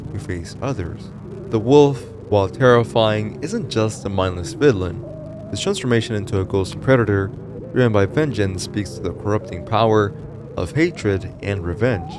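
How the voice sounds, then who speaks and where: Low-pitched man narrating over prominent music. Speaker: narrator of a horror story explainer. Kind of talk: scripted narration. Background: music behind the voice.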